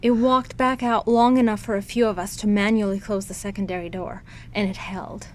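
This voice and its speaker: An actress playing a gentle, shaken survivor in an audio drama, speaking softly, consoling and somber. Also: female voice, mid-range voice, fairly even intonation, brisk, slight background noise, natural voice. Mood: shaken, urgent. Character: gentle, shaken woman survivor tending an injured friend.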